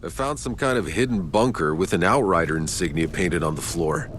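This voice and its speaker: A man's voice: sits low, expressive, brisk, a bit bright, a little hiss or hum. A man playing a laconic, commanding mercenary soldier in a video game cutscene. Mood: somber, curious. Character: gruff, low-voiced mercenary soldier man, laconic leader.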